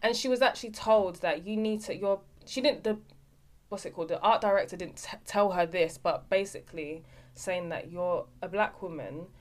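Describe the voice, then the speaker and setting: A young adult actress in a casual interview, telling stories with lots of restarts and trailing phrases. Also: female voice, lowish voice, normal speed. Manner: animated storyteller, trailing restarts. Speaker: actress guest.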